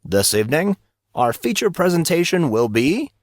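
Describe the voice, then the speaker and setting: A man voicing a showy, excitable teenage boy with a theatrical delivery for an audio story. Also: a little high, normal speed, dry recording, clean studio sound, voice pushed up. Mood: showy, excited. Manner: theatrical, presenter-like.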